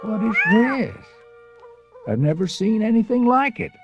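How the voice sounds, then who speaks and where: A man's voice: high-pitched, sing-song, unhurried, highs cut off, heavily acted. An actor playing a jovial, eager middle-aged father and inventor in a storybook audio drama, with a folksy salesman's manner. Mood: amazed. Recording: music under the dialogue.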